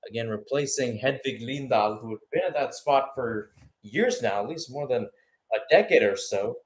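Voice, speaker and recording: A man's voice: medium pitch, expressive, moderate pace, a little hiss or hum. A man giving live radio-style play-by-play commentary on a football match, announcer-like and speaking in short phrases.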